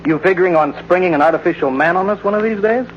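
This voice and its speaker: An actor playing a brash, pushy, cynical newspaper reporter, breezy and insistent, in old-time radio drama. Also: male voice, high-pitched, very fast, lightly acted. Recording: muffled, hissy old radio recording.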